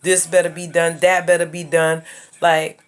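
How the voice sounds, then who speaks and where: A woman in her thirties with a lowish voice delivers a casual vlog monologue. She lectures her viewers emphatically and hammers her points home through repetition. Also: little pitch movement, normal speed, smooth. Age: 30s. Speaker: YouTuber giving life advice.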